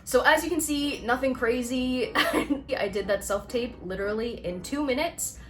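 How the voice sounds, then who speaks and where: A woman chatting casually to camera as she tells a personal story, animated and conversational as she acts out reactions. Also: sits high, normal speed, music in the background. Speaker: actor and vlog host telling her own story.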